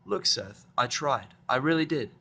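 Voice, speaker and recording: A man's voice: medium pitch, animated, moderate pace, pushed and bright, breathy edge, voice pushed up. A man voicing an anxious, bookish young female unicorn with a fretful, apologetic manner in a fan fiction audio drama. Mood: pleading, apologetic.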